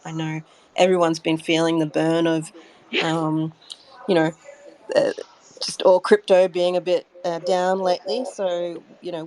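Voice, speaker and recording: A woman's voice: sits low, darkish tone. A woman speaking for a community project in a casual interview, in an encouraging, inviting manner. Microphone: online voice call. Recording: faintly noisy online audio chat.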